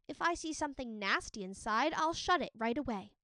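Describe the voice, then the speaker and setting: A woman's voice: high voice, lively intonation, brisk, sharp tone, dry recording, studio quality. A woman voicing a curious, kindhearted young woman from a storybook myth, speaking earnestly. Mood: tempted. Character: curious, kindhearted young mythical woman, easily tempted, remorseful.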